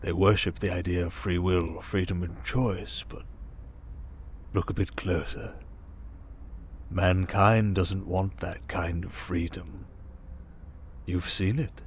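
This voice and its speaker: A man playing a smug, manipulative criminal mastermind in an ASMR roleplay, calm and condescending, coaxing with endearments and soft breathy murmurs. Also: deep voice, takes it slowly, long pauses, a little hiss or hum. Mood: persuasive, contemptuous. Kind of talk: ASMR roleplay monologue, dramatic.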